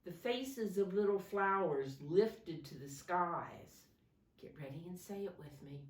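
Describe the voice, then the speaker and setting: Woman, preschool teacher, storytime read-aloud, very lively intonation, unhurried pace, simple questions for small children, roomy distant recording. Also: fairly low pitch. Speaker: preschool teacher reading a picture book aloud. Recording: roomy, echoey sound, distant from mic.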